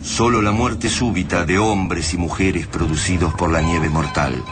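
A man's voice: low voice, steady tone, a little slow, noisy background. A man narrating a radio play about an apocalyptic war in a grave, dramatic style, as a scripted narrator. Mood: grave, suspenseful. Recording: loud music under the voice.

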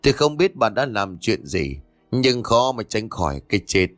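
A man voicing a grim exorcist who gravely foretells death. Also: lowish voice, sing-song, moderate pace, fairly bright tone, dry recording, soft background music. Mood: ominous. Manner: grave, ominous.

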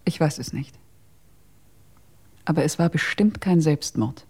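An actress playing a guarded, insistent female witness in a German radio crime drama, insinuating and hushed. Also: sits low, animated, unhurried, husky, exaggerated voice.

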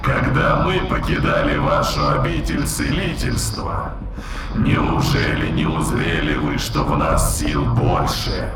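Ancient, deep, echoing spirit beast. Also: male voice, expressive, takes it slowly, exaggerated voice. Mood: contemptuous, proud. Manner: archaic, solemn, contemptuous phrasing. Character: ancient spirit inhabiting a dead ape, haughty and menacing. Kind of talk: audio drama acting. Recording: echoing, distant voice under loud music.

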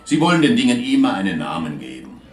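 Courteous, talkative police inspector from Vienna, off-mic in echo, radio crime drama. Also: male voice, lowish voice, huge pitch swings. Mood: grave, explanatory. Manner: polite, formal, measured. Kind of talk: German radio play acting. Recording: roomy, distant video link sound, music.

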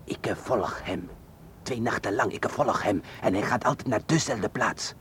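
Poor Egyptian informant, male, low voice, broken phrasing, ingratiating haggler, radio drama, faint background noise. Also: measured pace, fairly bright tone. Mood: secretive, earnest. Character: poor wheedling Egyptian driver selling information.

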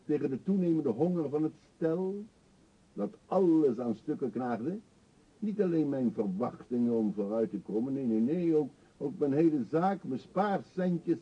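An actor in a radio play voicing a middle-aged male vagrant, self-pitying and confessional, with a husky, halting, theatrical delivery. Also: fairly high pitch, animated. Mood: aggrieved, dramatic. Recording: dull lo-fi radio play recording.

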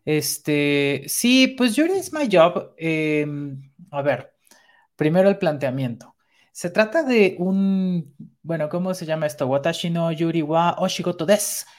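A woman's voice: sits low, lively intonation, a little slow, darkish tone. A woman from Mexico City with a Mexico City Spanish accent, co-hosting a casual podcast chat, chatty and laughing often. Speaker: podcast co-host.

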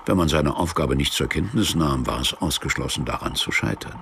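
A man playing the sombre, detached narrator of a radio play, reading literary prose deliberately in a gravelly voice. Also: very deep voice, animated, background noise, heavily acted. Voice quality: gravelly, creaky. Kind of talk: scripted narration.

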